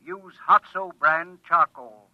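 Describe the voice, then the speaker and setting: An actor playing an impassive talking computer with a formal, mechanical announcer's delivery in a 1950s radio drama. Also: male voice, on the high side, slow pace, no room sound. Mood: coldly instructive. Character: impassive talking computer answering any question. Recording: dry, muffled 1950s radio recording.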